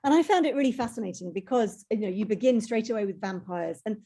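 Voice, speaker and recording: A woman's voice: fairly high pitch, expressive, quick pace, mellow. A woman hosting an interview, chatty and welcoming as she introduces and questions her guest. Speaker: host.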